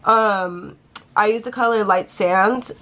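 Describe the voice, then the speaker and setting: A woman's voice: medium pitch, slow, fairly bright tone, a little hiss or hum. A young woman chatting casually and swearing freely while she does her makeup in a small room. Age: young adult. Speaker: beauty vlogger.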